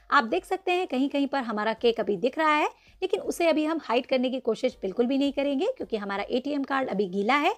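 A woman narrating a step-by-step cake icing tutorial, patiently instructing viewers and calling them friends. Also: high voice. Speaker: cooking channel host. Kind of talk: step-by-step baking tutorial voice-over.

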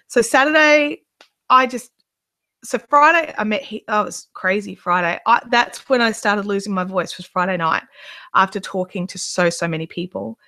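A woman's voice: medium pitch, normal speed, bright, forceful tone. A woman in her thirties, a yarn dyer and vlogger, chatting on a live show from her living room couch with the camera in front of her. She talks in a rambling way, with lots of ums and restarts, and gushes over yarn. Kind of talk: casual live chat show-and-tell.

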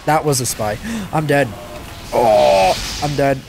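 A young man giving casual gameplay commentary, excitable, yelping and laughing at the action, his voice a little stuffed up. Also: on the high side, takes it slowly, a little hiss or hum. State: a bit stuffed up from crying. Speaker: gaming channel host.

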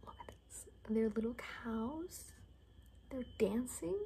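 A young woman in a whispered ASMR roleplay, rambling casually in a soft, breathy, chatty way. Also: a little high, slow, slight background noise, poor audio. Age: young adult. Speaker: ASMR creator playing an attendant. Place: small bedroom indoors.

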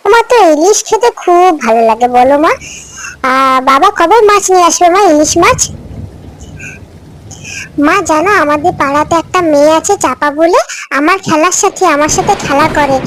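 A woman's voice: high-pitched, natural voice, low-quality recording. A woman voicing an eager, chattering little village girl who coaxes her parents in a cartoon story. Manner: childlike, chattering, coaxing.

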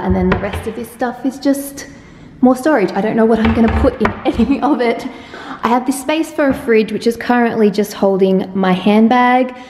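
A woman's voice: medium pitch, a little mellow. A young woman chatting casually and cheerfully as she walks the camera through her empty apartment, laughing often. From Australia. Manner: chatty and upbeat, with frequent laughs. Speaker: vlogger. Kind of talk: casual apartment tour vlog. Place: empty new apartment, indoors. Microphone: handheld camera at arm's length. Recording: echoey empty rooms.

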